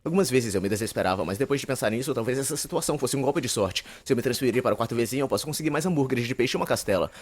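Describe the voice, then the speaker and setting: A man's voice: mid-range voice, animated, very fast, hardly any pauses, husky. A young man with a Brazilian accent playing a neurotic, wordy university shut-in narrating his inner monologue in a dubbed anime. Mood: musing. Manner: wordy, pompous, theatrical.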